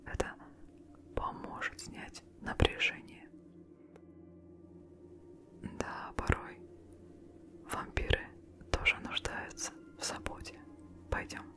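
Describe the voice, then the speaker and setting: A young woman playing a caring Victorian vampire mentor in a whispered ASMR roleplay, speaking softly, gently and soothingly close to the microphone. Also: natural voice. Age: young adult. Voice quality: soft breathy whisper. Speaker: ASMR performer.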